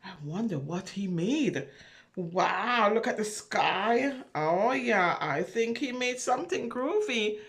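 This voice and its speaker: Female storyteller for preschool read-aloud. Leisurely delivery, very lively intonation, chatty asides and questions to young listeners. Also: mid-range voice, slow pace. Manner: expressive, wondering, talks directly to young listeners, asking questions. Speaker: children's storyteller.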